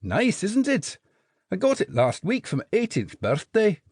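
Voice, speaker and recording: A man voicing a tiny, eager male jelly baby soldier with a Scottish accent, reporting in brisk staccato bursts. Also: high voice, wildly swooping pitch, darkish tone, slightly rough, no echo, voice pushed up, heavily acted. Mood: chirpy.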